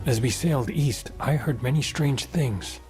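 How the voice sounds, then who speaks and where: An actor playing a grave, brooding figure, delivering a measured monologue in a game cinematic. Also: male voice, mid-range voice, a little mellow, soft background music, natural voice. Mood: solemn, ominous.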